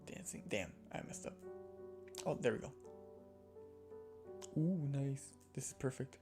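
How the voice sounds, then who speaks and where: A streamer reading a visual novel aloud in a halting way, going back over stumbles, with casual reactions. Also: mid-range voice, lively intonation. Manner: halting, rereads and corrects stumbles. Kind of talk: live storybook narration with casual reactions. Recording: music playing behind voice.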